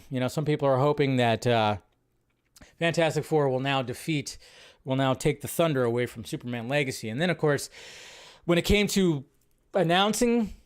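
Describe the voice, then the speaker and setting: A young adult man hosting a casual live movie news stream, rambling and correcting himself as he talks. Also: a little high. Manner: rambling, self-correcting, chatty.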